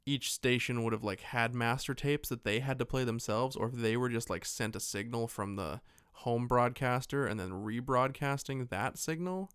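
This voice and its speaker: Man, podcast co-host, mid-pitched, crisp tone, rambling, jokey, profane, casual chat, dry room. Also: normal speed, hardly any pauses, fairly bright tone, dry recording. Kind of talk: casual film discussion chat.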